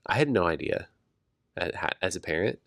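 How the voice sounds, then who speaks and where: A man co-hosting a casual late-night podcast at home, talking softly and adding caveats, a bit congested. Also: low voice, animated, fairly quick, vocal fry, no echo, studio quality. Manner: quiet and hedging, laughs easily. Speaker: podcast co-host. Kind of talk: casual chat.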